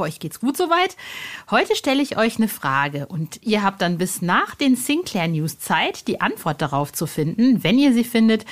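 A woman hosting a podcast in an informal, chatty, colloquial way with bubbly energy. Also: mid-range voice. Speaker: podcast host. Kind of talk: casual chatty podcast presenting.

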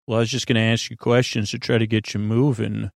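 Creaky-voiced man rambling soothingly at bedtime. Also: on the low side, little pitch movement, no room sound, clean studio sound. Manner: meandering, halting, often trailing off and doubling back; reassuring, gently encouraging. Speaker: podcast host. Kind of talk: rambling bedtime monologue meant to help listeners sleep.

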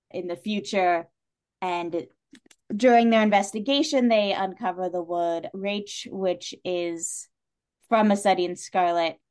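A woman guest on a podcast, explaining a plot in a casual, conversational way and acting out bits of imagined dialogue. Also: fairly low pitch. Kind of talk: casual spoken plot synopsis.

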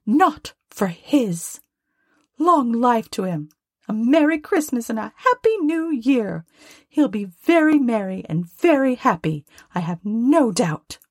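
Woman playing an indignant wife, high and scolding. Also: very animated, takes it slowly, no room sound, studio quality, heavily acted. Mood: grudging, sarcastic. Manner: emphatic, scornful scolding. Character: indignant, sharp-tongued working-class wife and mother.

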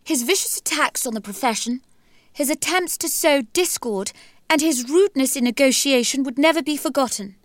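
An actress giving a slow, cutting, deliberate dramatic reading of a quotation. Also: female voice, sits high, dry recording, studio quality.

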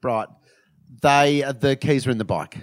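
A man's voice: medium pitch, normal speed, dry recording. A man on a podcast, asking playful probing questions about a friend's story. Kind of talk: casual comedic banter.

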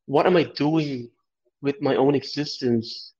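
A man's voice: mid-range voice, a little slow, dark tone. A man from Aruba, an artist being interviewed, answering in a reflective way and searching for his words. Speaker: guest artist. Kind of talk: podcast interview. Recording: dull lo-fi audio.

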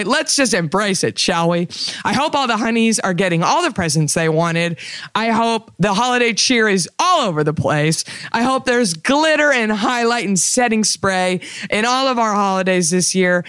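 A woman with a low voice hosting a podcast, chatting in a sassy, playful way and calling her listeners honey and baby. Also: fairly bright tone, clear, resonant tone, no echo, studio quality. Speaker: podcast host. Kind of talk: casual chat.

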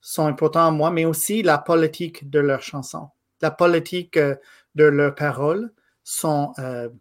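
A man in his thirties, a native English speaker from Quebec, giving a guest interview in a thoughtful, halting way. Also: a little high, steady tone. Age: 30s. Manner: thoughtful, halting, with frequent pauses and restarts. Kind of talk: casual interview conversation.

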